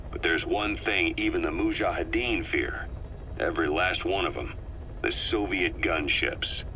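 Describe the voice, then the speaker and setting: A very deep, forceful male voice of a grim, seasoned soldier in a video game, delivering a scripted briefing. He speaks gravely and deliberately, stressing key words, over a faintly noisy tape recording. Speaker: military briefing narrator in a game.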